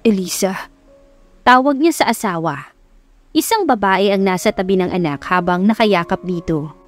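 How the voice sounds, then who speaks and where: A woman's voice: mid-range voice, lively intonation, normal speed. Filipino woman narrating over music. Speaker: audiobook narrator. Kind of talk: scripted dramatic story narration. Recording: background music under narration.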